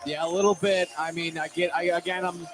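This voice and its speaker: A man's voice: high-pitched, flat delivery, a little fast. A man doing live radio play-by-play of a basketball game, often restarting and repeating words for emphasis. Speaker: play-by-play sports announcer. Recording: loud gym noise behind. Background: loud game ambience.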